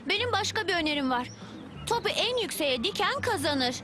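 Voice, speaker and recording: A woman's voice: extremely high pitch, very animated, fairly quick, background noise. A woman voicing a confident, competitive, teasing young girl in a children's cartoon. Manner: cocky, teasing. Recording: loud music over the voice.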